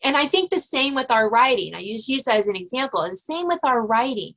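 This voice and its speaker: A woman's voice: on the high side, sing-song, a little fast, a bit bright, low-quality recording. A middle-aged woman speaking conversationally as the host of an interview podcast.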